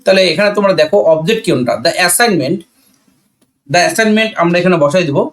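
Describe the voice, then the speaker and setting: A man's voice: high-pitched, lively intonation, a little fast, a bit bright. A man with a Bangladeshi accent teaching a grammar lesson, asking and answering his own questions and repeating key points. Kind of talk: classroom-style grammar lesson explanation.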